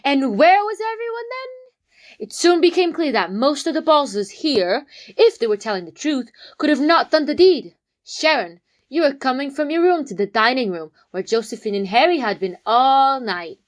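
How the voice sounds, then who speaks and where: A woman playing a confident young detective in an amateur comedy whodunnit, explaining with dramatic pauses. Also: sits high, big pitch swings. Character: confident young detective laying out a murder case.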